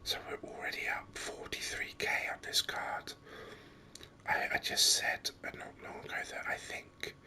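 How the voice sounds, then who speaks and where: A gaming host whispers ASMR commentary close to the microphone. The voice is breathy and almost entirely unvoiced, with a very crisp, sharp brightness. The delivery is soft and unhurried, explaining things step by step.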